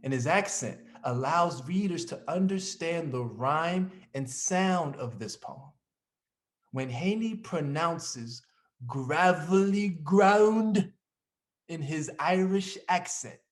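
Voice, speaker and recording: A man's voice: a little high, lively intonation, slow. A man presenting a scripted introduction and narration in the manner of a programme host.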